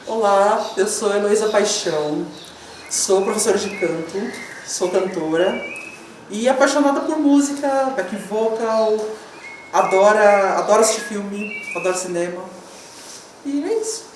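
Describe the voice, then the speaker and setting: A woman's voice: medium pitch, measured pace. A Brazilian woman, a singer and singing teacher, chatting casually about herself. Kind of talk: casual chat. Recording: very echoey room, distant, faint noise.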